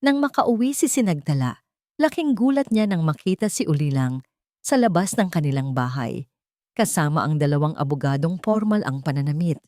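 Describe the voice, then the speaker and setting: A woman's voice: sits low, lively intonation, normal speed, darkish tone, no room sound, clean studio sound. A woman with a Filipino accent reading a scripted, dramatic story as its narrator.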